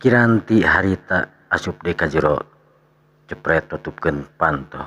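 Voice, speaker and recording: A man narrating a dramatic radio tale as storyteller, with a gravelly, husky voice and pauses between short phrases. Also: extremely low pitch, expressive, sharp tone.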